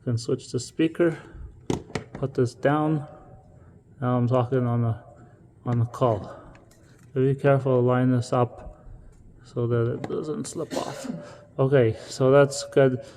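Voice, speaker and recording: A man's voice: mid-range voice, steady tone, unhurried, pauses often, dark tone, a little hiss or hum. A man instructing in an informal, step-by-step way, as in a casual hands-on tutorial. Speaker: instructor demonstrating equipment.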